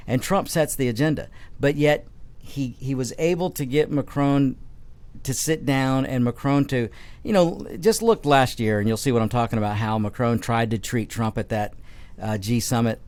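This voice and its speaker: A man's voice: medium pitch, darkish tone. Man, middle-aged, radio host, emphatic monologue, repeats himself, studio mic. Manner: emphatic, repeats and restarts phrases. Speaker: radio talk show host. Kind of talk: opinion talk radio monologue.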